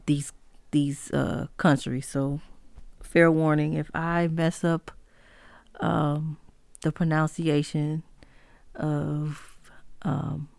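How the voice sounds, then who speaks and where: A woman hosting a solo music history podcast, talking haltingly with many pauses, fillers and self-corrections. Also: deep voice, vocal fry, darkish tone, no room sound. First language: not Spanish. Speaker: podcast host.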